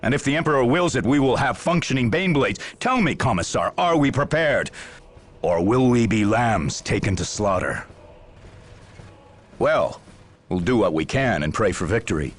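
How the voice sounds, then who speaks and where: A man's voice: mid-range voice, expressive, normal speed, lightly acted. A man playing a stern, weary military general in a video game, speaking in grandiose speeches and clipped questions. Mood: grave, resolute. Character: stern, weary Imperial Guard general, zealous and commanding. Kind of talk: dramatic video game cutscene acting.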